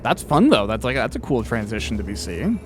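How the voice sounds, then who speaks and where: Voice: mid-range voice, sing-song, a little fast. An easygoing interviewer in casual, agreeable chat while playing a video game. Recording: music playing behind the voice.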